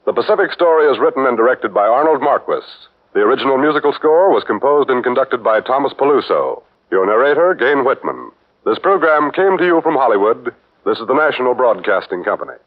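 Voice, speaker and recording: A man's voice: mid-range voice, big pitch swings, a little fast. A male radio announcer giving a formal closing announcement in a 1940s broadcast. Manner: formal, polished. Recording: dull 1940s radio with music.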